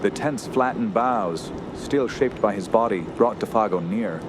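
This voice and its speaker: An actor playing an earnest, shaken young woodsman who tells his story in a radio horror drama, halting and solemn. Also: on the low side, natural voice. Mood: somber. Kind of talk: radio horror narration and acting. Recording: loud steady outdoor background noise.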